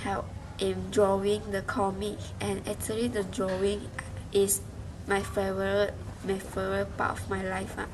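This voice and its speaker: A young Malaysian woman, a university student, giving a halting spoken reflection with many restarts and fillers. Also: medium pitch, completely flat delivery. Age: young adult. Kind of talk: spoken class reflection in a second language. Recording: noisy background hiss.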